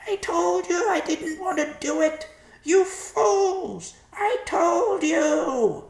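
Panicky strained vulture for a storybook, pitch swoops way up, kinda roomy sound. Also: male voice, lively intonation, slow, creaky, exaggerated voice. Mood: shrieking alarm. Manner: exclamatory, repeats words in agitation. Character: excitable, fearful, pleading old vulture, male. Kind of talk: character voice in storybook narration.

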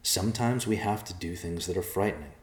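A man voicing a gentle, brave young male adventurer in a storybook, speaking earnestly and persuasively. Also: low voice, brisk, reverberant, lightly acted. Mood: gently urging. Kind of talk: character dialogue in storybook narration.

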